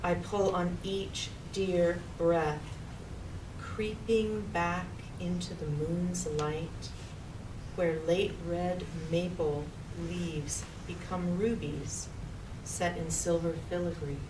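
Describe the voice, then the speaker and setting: A woman poet reading her own poem at a live reading, deliberately, in short separated phrases. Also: lowish voice, steady tone. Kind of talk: poetry recitation at a live reading. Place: public library reading room. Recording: echoey room, distant mic, noisy background.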